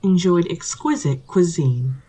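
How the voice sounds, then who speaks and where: Prim fairy-tale storyteller, acted by a woman, theatrical sing-song reading, slightly low register, husky edge. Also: measured pace, warm tone. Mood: storybook sing-song.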